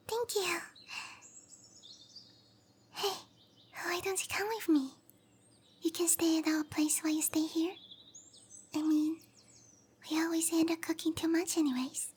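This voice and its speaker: A woman playing a small, shy and eager young cow monster girl mage in an anime-style roleplay ASMR, with bashful, hesitant, breathy delivery. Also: very high voice, fairly even intonation, slow, pauses often, slight background noise. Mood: friendly, welcoming. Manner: bashful, hesitant, breathy murmurs between bright chatter.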